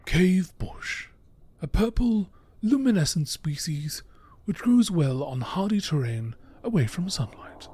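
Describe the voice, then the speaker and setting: A man narrates in a nature documentary style, reading scientific entries in a measured, enunciated way. His voice sits a little high and moves in wide, expressive swings of pitch. A light layer of noise runs under the recording. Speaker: narrator reading game text aloud.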